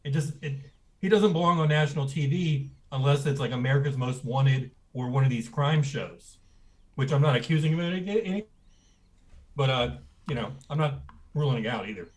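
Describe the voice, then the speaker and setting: A young man from Texas talks with a blunt, ranting edge. His voice sits at an ordinary middle pitch, and he speaks in easy, casual podcast banter full of strong opinions. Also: fairly quick, poor audio. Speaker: podcast co-host.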